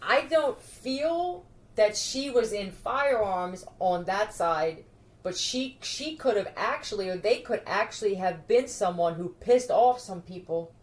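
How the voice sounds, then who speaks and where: A woman's voice: medium pitch, lively intonation, measured pace. A woman talking in a scattered, profane monologue, addressing unseen listeners directly. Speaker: video creator. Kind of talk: rambling monologue to camera.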